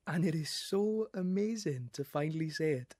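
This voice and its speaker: Young guy doing a nervous lovesick lad, quiet, earnest, swears under his breath. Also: on the high side, lively intonation, normal speed, dry recording, studio quality, pitched above the performer's own voice. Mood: tender. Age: young adult. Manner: soft, earnest, hushed with sudden swearing. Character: young man nervously confessing his love. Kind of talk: acting class monologue.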